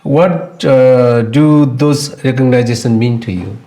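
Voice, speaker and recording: A man's voice: mid-range voice, slow. Polite male interviewer in an echoey room. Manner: polite, brief affirmations, steady questions. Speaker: interview host. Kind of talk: interview questions. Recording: roomy echo.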